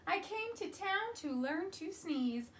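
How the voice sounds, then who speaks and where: A woman's voice: very high-pitched, lively intonation, takes it slowly, exaggerated voice. A woman voicing an earnest, pleading male goat in a children's storybook read-aloud. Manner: pleading, plaintive.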